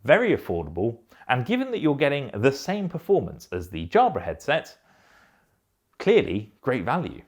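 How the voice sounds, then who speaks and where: A man presenting a product review in a measured, explanatory way. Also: mid-range voice, huge pitch swings. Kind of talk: scripted-sounding headset review.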